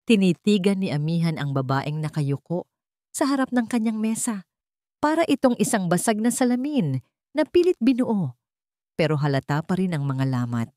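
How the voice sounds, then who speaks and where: A woman reading a scripted dramatic story in a measured, suspenseful way with dramatic pauses. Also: lowish voice, animated, dark tone, no room sound, studio quality.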